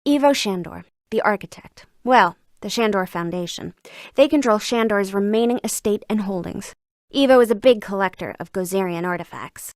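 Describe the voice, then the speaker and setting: An actress voicing a brisk, learned museum curator in a video game, speaking in an articulate, scholarly and sardonic way. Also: female voice, medium pitch, no room sound, studio quality, close to an everyday voice. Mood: matter-of-fact. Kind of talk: scripted video game dialogue.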